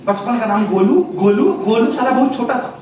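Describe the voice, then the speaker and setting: A high-voiced young man in his twenties gives a motivational talk from a stage, telling stories with animation, acting out voices and croaking sounds. His voice rings through a big, echoing hall, and the sound is slightly muffled. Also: normal speed. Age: 20s. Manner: mixes English phrases into Hindi. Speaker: motivational speaker. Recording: echoey hall, muffled, light noise.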